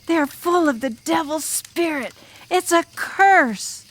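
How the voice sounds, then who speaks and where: A woman voicing an enigmatic drum major student telling a demon horror tale in an audiobook, with ominous, drawn-out, dramatic delivery. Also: very high-pitched, animated, fairly bright tone, heavily acted. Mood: grave, menacing. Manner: ominous, drawn-out, dramatic, sometimes dropping to a whisper. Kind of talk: audiobook ghost story narration in character.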